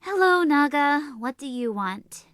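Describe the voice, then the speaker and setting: A woman's voice: high voice, expressive, a little slow, a bit bright, slight background noise. A woman playing a petite, short-tempered teenage sorceress in a comedic anime fan audio drama, sarcastic and teasing. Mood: dry, skeptical.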